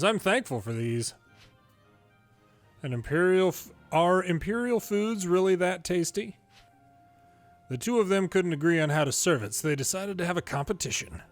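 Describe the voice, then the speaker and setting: A man reading story dialogue aloud from a video game in a playful way, switching pitch between characters and adding his own commentary. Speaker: longplay host reading a game's dialogue aloud. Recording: music behind the voice. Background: game music.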